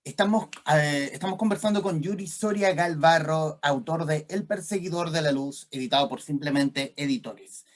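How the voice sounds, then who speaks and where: Higher-voiced Chilean man, hesitant interviewer. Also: moderate pace. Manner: hesitant, searching for words. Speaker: interviewer, host. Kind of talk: conversational literary interview.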